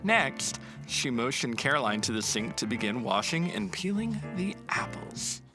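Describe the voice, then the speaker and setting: A man narrating a children's picture book aloud in an expressive, storytelling way. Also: mid-range voice, a little slow, music in the background.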